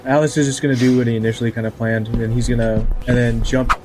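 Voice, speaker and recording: Casual male player over clear music. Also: medium pitch, little pitch movement, fast. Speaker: player in a tabletop game. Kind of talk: casual game table talk. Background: background music.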